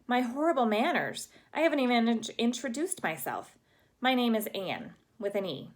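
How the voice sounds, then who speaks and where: A dramatic, chatty young girl from a storybook who gushes in flowery, theatrical phrases, as a woman reads her. Her voice sits noticeably higher than the reader's own and is bright and eager. Her accent is hard to place. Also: sing-song, moderate pace. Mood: gushing. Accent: unplaceable.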